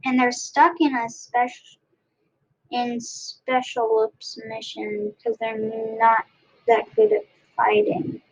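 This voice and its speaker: A woman reading a storybook aloud in a halting way, restarting phrases as she goes. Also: on the high side, very animated, creaky, poor audio. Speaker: story reader. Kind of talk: read-aloud storybook narration.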